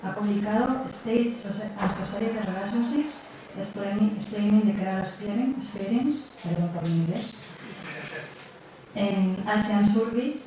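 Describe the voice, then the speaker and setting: A woman's voice: medium pitch, unhurried. A woman from hosting an event, giving a prepared, partly read introduction of a speaker. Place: lecture room with strong echo. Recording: very echoey room, distant mic, noisy.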